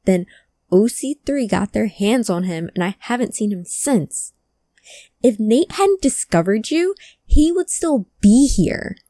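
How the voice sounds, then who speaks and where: Woman playing a slow, mellow, wounded loyal friend. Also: a little high, lively intonation, dry recording. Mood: angry, hurt. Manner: halting, emotional, emphatic. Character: guarded, grieving woman loyal to her missing childhood friend. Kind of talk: cyberpunk audio drama acting.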